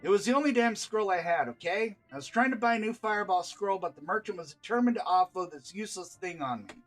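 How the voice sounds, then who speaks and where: Man doing a grumpy, higher-pitched stoic elf. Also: expressive, quick pace, a bit bright, soft background music, low-quality recording. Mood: exasperated. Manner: grumbling, curt, exasperated. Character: grumpy, stoic male elf archer, curt and fed up.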